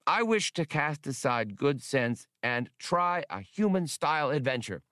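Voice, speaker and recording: Scholarly alien creature, male narrator acting: raised pitch, dreamy, polite, earnest storybook delivery. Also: very animated, measured pace, sharp tone, clean tone, no echo, studio quality, heavily acted. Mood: dreamy, wistful. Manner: earnest, formal, explanatory.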